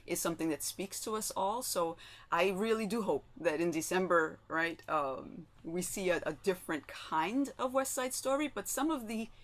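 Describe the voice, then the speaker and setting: A woman's voice: on the low side, expressive, moderate pace. Woman moderating, lots of "right?". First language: Spanish. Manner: frequent "um" and tag "right?". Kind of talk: online panel discussion.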